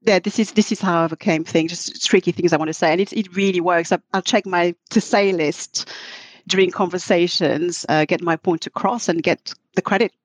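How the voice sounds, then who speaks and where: A woman's voice: fairly low pitch, fairly even intonation, no room sound. A middle-aged woman, not a native English speaker, talking thoughtfully as a podcast interview guest and often restarting her sentences. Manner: thoughtful, halting with frequent restarts. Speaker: guest, marketing executive.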